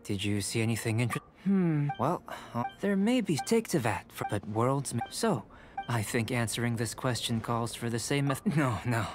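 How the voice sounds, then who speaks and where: An actor playing a calm, detached young male alchemist in a video game, speaking in a measured, scholarly way. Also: medium pitch, faint music behind, lightly acted. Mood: curious, composed.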